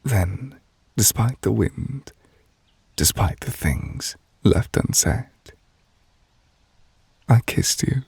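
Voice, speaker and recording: A man playing a gentle, refined, possessive witch in love, speaking breathy and hushed in an intimate ASMR romance roleplay. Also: lowish voice, animated, drawn-out words, long pauses, no room sound, full character voice. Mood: tender, nostalgic. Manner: gentle, refined, wistful, formal phrasing. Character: soft-spoken, tender, possessive male witch in love.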